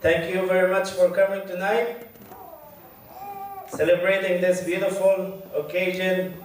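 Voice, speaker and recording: A man from Egypt with an Egyptian Arabic accent, giving a lecture at a community event, chatty with the crowd and taking care to speak slowly. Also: sits high, flat delivery, a little hiss or hum. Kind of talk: mosque lecture to an audience. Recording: very echoey hall, voice heard from far.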